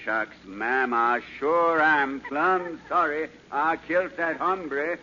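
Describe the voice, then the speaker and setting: A man playing a bashful, apologetic cowboy with slow, folksy delivery in a radio comedy Western. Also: a little high, sharp tone. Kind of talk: hammy Western script reading in radio comedy. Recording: very noisy muffled 1940s radio broadcast.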